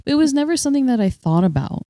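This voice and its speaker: A young woman co-hosting a casual chat podcast, telling stories in an animated way and acting out what people said. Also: sits high, rapid-fire, no echo, clean studio sound. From United States. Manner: storytelling, animated, acts out quotes. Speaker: podcast co-host.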